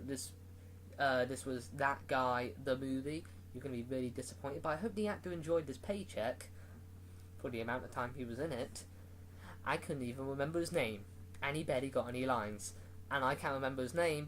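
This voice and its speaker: A man's voice: on the high side, a little hiss or hum, low-quality recording. A man giving a casual, rambling movie review, full of fillers and restarts.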